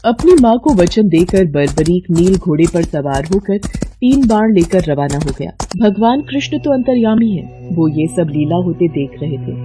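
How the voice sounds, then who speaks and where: A woman's voice: on the low side, animated, normal speed. Woman narrating a devotional tale over music. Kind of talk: scripted devotional story narration.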